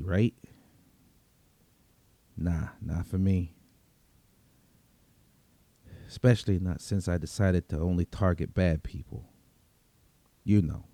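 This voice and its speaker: A man's voice: deep voice, dark tone, no echo. A man reading a first-person story as a world-weary, streetwise vigilante vampire, in a conversational, brooding Brooklyn accent. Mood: brooding, pained, then matter-of-fact. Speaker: story reader.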